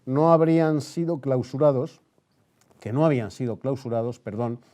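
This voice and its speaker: A man hosts a radio programme. His voice is mellow and mid-pitched, and he has the formal manner of a news announcer. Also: normal speed. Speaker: radio host. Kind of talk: radio news bulletin and interview presenting.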